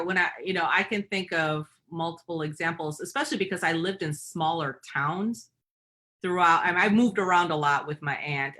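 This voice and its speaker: A woman's voice: low-pitched, bright, forceful tone. A middle-aged woman with a Michigan accent, a guest telling personal stories in reflective talk with frequent fillers. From Michigan, USA. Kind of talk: personal storytelling in interview.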